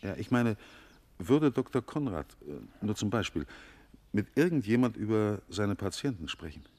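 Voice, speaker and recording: An actor playing a middle-aged intellectual novelist in a 1950s German radio drama, hesitant, evasive and self-deprecating. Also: male voice, fairly low pitch, sing-song, moderate pace, a little mellow, no room sound. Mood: uneasy. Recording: noisy vintage radio recording.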